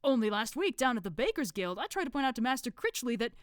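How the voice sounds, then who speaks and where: Put-upon simple doorkeeper, acted by a woman, vehement and complaining, pitched up for a storybook. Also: very animated, fast talker, dry recording, clean studio sound. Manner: complaining, colloquial. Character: vehement, aggrieved, simple-minded male doorkeeper of secret brotherhood.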